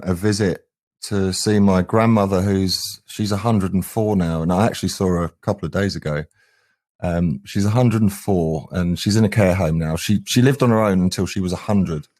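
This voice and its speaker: A middle-aged man speaks in a very low voice. He is earnest and thoughtful, like a musician reflecting in an interview. The sound is dry, with little room around it. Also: a little mellow, dry recording. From England. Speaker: band singer and guitarist, interview guest.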